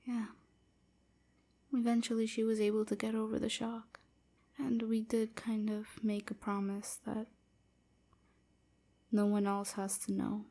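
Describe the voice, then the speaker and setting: Woman acting a young female vampire slave, wistful, breathy, halting, mellow, fry-tinged, intimate roleplay monologue. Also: a little high, monotone. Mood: wry, subdued. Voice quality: soft, breathy, often near whisper. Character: sorrowful young enslaved vampire woman recounting her past.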